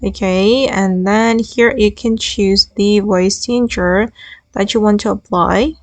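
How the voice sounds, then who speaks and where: A woman with a mid-pitched voice narrates a step-by-step software tutorial as its host. The recording is dry, with no room echo. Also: fairly even intonation, slow.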